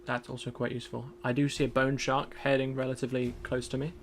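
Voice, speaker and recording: A man streaming a game in casual commentary. He is chatty and self-deprecating, thinks aloud, and has a loud voice. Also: mid-range voice, little pitch movement, fast, slight background noise.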